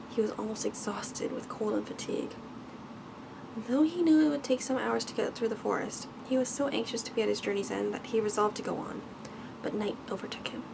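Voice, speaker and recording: Soft, creaky woman reading a bedtime story. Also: a little high, background noise. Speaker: ASMR storyteller. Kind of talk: ASMR bedtime story reading, scripted narration.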